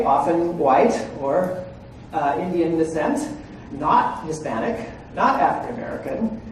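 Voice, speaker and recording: A man's voice: fairly high pitch, darkish tone, slight background noise. Man lecturing in an echoey hall. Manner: frequent "right?" and "okay?" check-ins with listeners. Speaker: presenter at a legal training seminar. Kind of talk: conversational lecture to an audience. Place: large room with an audience. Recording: echoey room, far from the mic.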